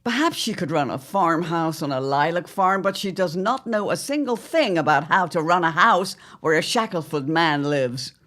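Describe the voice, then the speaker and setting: A woman playing a domineering older mother-in-law in an audio drama, pompous and condescending, with drawn-out, theatrical emphasis. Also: lowish voice, expressive, fairly bright tone. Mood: grandly condescending. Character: haughty, domineering, sly older mother-in-law, needling and grandiose.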